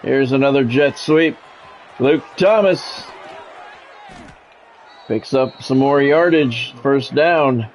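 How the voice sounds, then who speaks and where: A man's voice: mid-range voice, slow pace, long pauses, a little hiss or hum. A man calling a video game football match as a streamer, mixing announcer-style play-by-play with wry, grumbling, sometimes profane casual asides. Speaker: gaming streamer doing play-by-play commentary. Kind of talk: sports play-by-play commentary mixed with casual asides.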